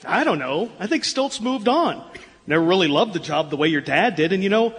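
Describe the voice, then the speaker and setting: An actor playing an eager, self-promoting sports memorabilia dealer in a live radio comedy, with an animated, pushy sales patter. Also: male voice, high-pitched, a little fast. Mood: chatty, pushy. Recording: echoey hall, live stage performance.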